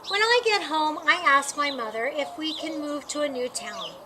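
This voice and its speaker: High-voiced woman reading a storybook, birdsong behind. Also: animated, moderate pace. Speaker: storytime reader. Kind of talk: children's picture book read-aloud. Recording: clearly noisy, with birdsong behind. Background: birds chirping.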